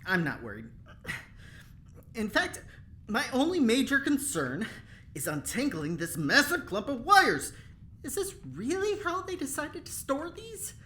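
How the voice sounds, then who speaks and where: An actor playing an eager, insecure, chatty engineer in an audio drama, self-conscious and halting in delivery. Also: high voice, huge pitch swings, bright, forceful tone, heavily acted. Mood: flustered.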